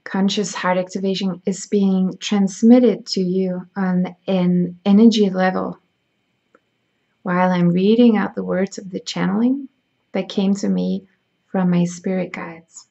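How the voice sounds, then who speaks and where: Slow, husky-edged woman, gravelly and soft. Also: mid-range voice, steady tone. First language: Danish. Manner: soft, slow, soothing, drifting into whispers. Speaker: spiritual healer. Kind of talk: slow guided meditation reading. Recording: dull lo-fi sound.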